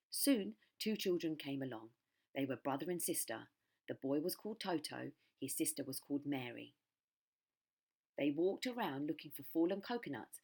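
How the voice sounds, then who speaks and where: A woman's voice: deep voice, darkish tone. A woman narrating a children's storybook like a teacher, with dramatic, careful phrasing.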